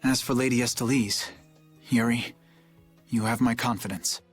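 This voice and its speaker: A man performs a young knight for a video game cutscene. His mid-pitched voice is serious and courteous, with measured, formal phrasing. Soft music runs underneath. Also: fairly even intonation, lightly acted. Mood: earnest. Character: earnest, formal, dutiful young male knight.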